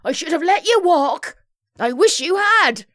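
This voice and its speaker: A woman's voice: very high-pitched, big pitch swings, unhurried, bright, forceful tone, dry recording, studio quality, pitched above the performer's own voice, exaggerated voice. A woman voicing a flustered, indignant woman in a comic story, whiny and prone to pleading and fuming.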